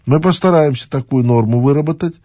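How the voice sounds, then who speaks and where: A middle-aged man speaking as a regional official in a halting official address, with frequent fillers and restarts. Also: fairly high pitch, dark tone, no echo, lo-fi sound.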